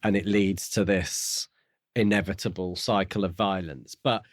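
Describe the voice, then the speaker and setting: A man with a fairly low voice chats as a podcast co-host about a book. He muses tentatively, feeling his way through theories. The recording is very dry and free of echo. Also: steady tone, measured pace. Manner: tentative, musing, theorising aloud. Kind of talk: casual book discussion.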